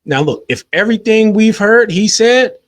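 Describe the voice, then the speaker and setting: A man hosting a commentary show, giving his measured, skeptical take and often trailing off quietly. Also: high voice, animated, dry recording. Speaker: commentary host. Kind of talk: opinion commentary.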